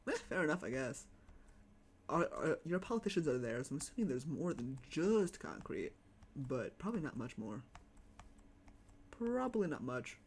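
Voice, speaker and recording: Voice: medium pitch, very animated, normal speed, long pauses, husky. A streamer with a Chicago accent, chatting casually and rambling while playing a game at home. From United States. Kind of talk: casual live-stream chat while gaming.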